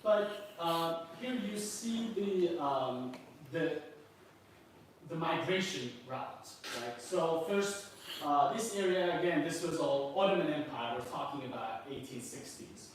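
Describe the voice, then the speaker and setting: Guy lecturing w lots of ums, bit high voice, echoey room, off mic. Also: expressive, slow, recorded from a distance, a little hiss or hum. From Turkey. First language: Circassian. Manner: hesitant, many fillers. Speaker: guest lecturer. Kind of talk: presentation talk with slides. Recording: roomy, off-mic.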